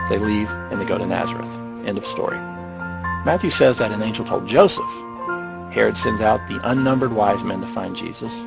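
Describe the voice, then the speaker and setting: A man delivering casual, irreverent scripted commentary in a sarcastic, mocking way, with a gravelly, husky voice. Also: on the low side. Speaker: narrator of a skeptical commentary on the Bible.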